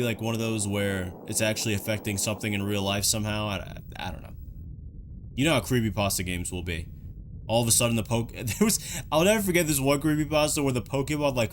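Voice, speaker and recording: A man's voice: medium pitch, a little hiss or hum. A man giving casual, conversational reaction commentary on game footage, with dryly joking asides. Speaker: host of a video reaction and analysis show. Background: background music.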